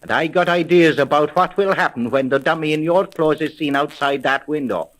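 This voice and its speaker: Casting: man playing a wary, quick-thinking American police sergeant for 1930s radio mystery, higher-pitched male voice, clipped orders and street slang. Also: fairly bright tone, close to an everyday voice. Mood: urgent, commanding. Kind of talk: 1930s radio detective drama acting.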